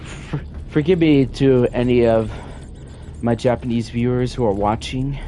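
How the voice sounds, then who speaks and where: A man streaming a game, chatting casually and reading in-game notes aloud in a relaxed way. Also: medium pitch, a little slow, a little hiss or hum. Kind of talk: casual gameplay commentary.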